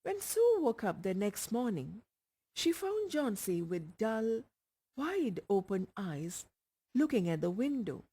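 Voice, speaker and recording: A woman's voice: medium pitch, sing-song, slow, dark tone, slightly rough. A woman with an Indian accent reading a short story aloud as scripted narration. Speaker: audiobook reader.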